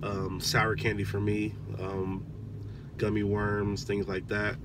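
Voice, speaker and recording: A man in his thirties talking casually in a parked car, relaxed and chatty with lots of "um"s, recorded on a dashboard camera. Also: lowish voice, slow, noisy background. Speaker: vlogger, father. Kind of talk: casual vlog chat.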